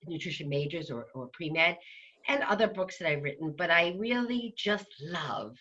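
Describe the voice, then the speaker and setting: A woman with a New Jersey accent, guest on a casual interview, enthusiastic and lively as she tells about herself. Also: lowish voice, moderate pace, breathy edge, dull audio. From New Jersey, USA. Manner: enthusiastic, talks with her hands. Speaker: guest, dietitian. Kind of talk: animated interview chat.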